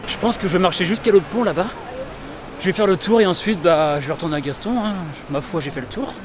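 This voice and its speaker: A man's voice: high voice, fast talker, noisy background. A man walking outdoors in a city, chatting enthusiastically to his viewers in a casual travel vlog. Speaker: travel vlogger. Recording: music playing behind.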